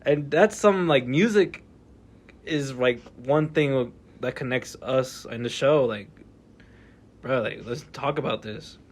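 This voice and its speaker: A man's voice: mid-range voice, slow pace. A man hosting a casual podcast, talking in laid-back slang and asking his guest questions. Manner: laid-back slang, animated when quoting. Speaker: podcast host.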